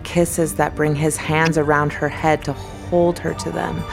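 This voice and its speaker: A woman with a very low voice narrates a scripted audio drama. She delivers storytelling narration while music plays clearly behind her. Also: little pitch movement, measured pace.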